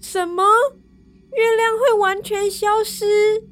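A storyteller voicing a girlish, excitable young moon lady in a children's audio story, drawing out exclamations. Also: very high voice, slow, soft background music, voice pushed up. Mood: worried, surprised. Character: young moon lady Chang'e, girlish, indignant, easily startled.

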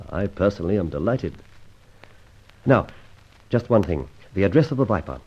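An actor playing a suave gentleman adventurer in a radio drama, urbane and teasing, with a theatrically polite manner and a husky voice. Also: low voice, lively intonation, moderate pace, exaggerated voice. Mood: suave, reassuring. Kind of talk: old radio drama acting. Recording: dull vintage radio recording.

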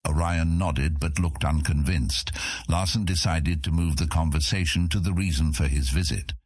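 Very deep, husky male audiobook narrator. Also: steady tone, darkish tone, no room sound. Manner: measured storytelling. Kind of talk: scripted fantasy audiobook narration.

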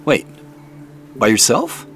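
An actor playing an awkward, apologetic man in an audio drama, speaking in a halting, naturalistic way. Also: mid-range voice, huge pitch swings, fairly bright tone, soft background music, heavily acted. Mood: hesitant, concerned. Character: awkward, apologetic man investigating a family mystery.

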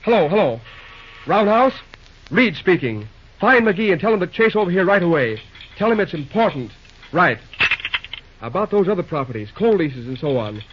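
An actor playing a harried, exasperated young railroad superintendent in an old-time radio serial, exclaiming, grumbling and stammering. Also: male voice, sits high, sing-song, normal speed, long pauses, slight background noise. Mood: brisk, eager. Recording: dull old radio-show recording.

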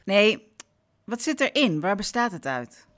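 An actress playing a practical, slightly testy grown daughter with children of her own, matter-of-fact and quick to correct, in a naturalistic radio play. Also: mid-range voice, very animated, a bit bright. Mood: impatient. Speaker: actor in an audio drama.

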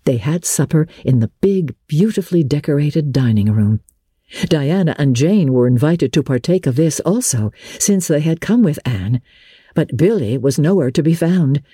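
Lady narrator reading a classic novel, lowish mellow voice, a bit husky, expressive and measured. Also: no room sound, studio quality. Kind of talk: dramatic literary storybook narration.

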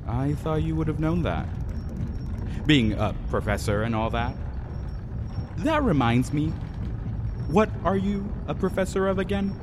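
A man's voice: a little high, lively intonation, unhurried, long pauses. A man playing a wary, sardonic, put-upon jungle guide in an audio drama. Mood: wry, probing. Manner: sardonic, skeptical. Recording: clear background noise and ambience.

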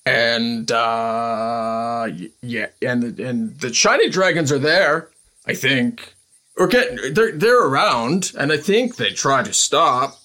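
A man's voice: mid-range voice, expressive, slow pace, sharp tone. A man voicing a bumbling, well-meaning orc bard in a tabletop game, hesitant and stammering. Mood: unsure, rambling.

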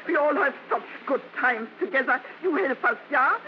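Excitable foreign matron, very high and swooping, halting broken English, vintage radio. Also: female voice. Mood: eager pleading. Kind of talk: 1950s American radio drama acting. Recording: dull, faintly noisy old radio recording.

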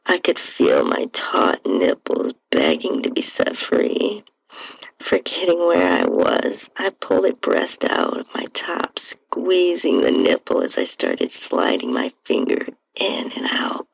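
A woman narrates a first-person story in a breathy, husky voice full of gravelly fry. Her delivery is sultry and slow, with pitch that swings widely from low creak to lighter tones. It sounds intimate, like an audiobook. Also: no room sound, poor audio. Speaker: podcast host and narrator.

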